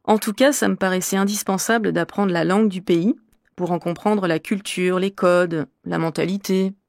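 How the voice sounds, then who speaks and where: A woman's voice: fairly low pitch, steady tone, normal speed, gravelly, a little mellow, no echo, studio quality. A woman playing a middle-aged French teacher from Normandy, answering interview questions in an articulate, explanatory way for a language course. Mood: composed. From Normandy, France. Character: middle-aged female French teacher, curious and well-travelled.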